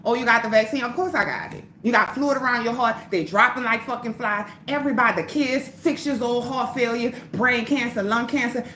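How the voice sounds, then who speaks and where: A woman's voice: fairly high pitch, brisk, hardly any pauses, pushed and bright, echoey room, slight background noise. A woman hosting a livestream, ranting in a heated, profane, preachy way. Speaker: livestream host.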